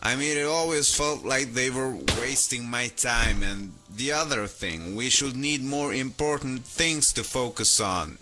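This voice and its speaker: A man's voice: mid-range voice, measured pace, hardly any pauses. An actor playing a hot-headed, sardonic male radio host who grumbles through comic monologues in a video game. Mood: grumbling, sardonic. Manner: grumbling, rambling, complaining. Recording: loud music and car noise over voice. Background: loud music, car engine.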